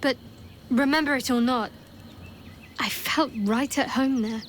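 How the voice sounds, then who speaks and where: A woman's voice: high voice, a little slow, a little hiss or hum. A woman playing a guarded young cleric in a video game, talking in a wry, reflective way. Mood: wistful. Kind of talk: naturalistic video game character acting.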